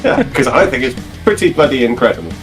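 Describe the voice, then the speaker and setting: A man's voice: medium pitch, very animated, quick pace, music in the background. A British man chatting casually and effusively as a podcast co-host, in a wordy way. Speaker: podcast co-host and game master. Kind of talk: casual chat.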